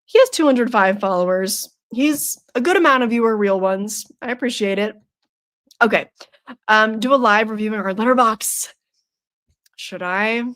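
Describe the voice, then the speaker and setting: A woman's voice: fairly high pitch, moderate pace, fairly bright tone. A young woman hosting a live stream, chatting casually with her viewers, playful and teasing, laughing often. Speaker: streamer hosting a horror trivia night.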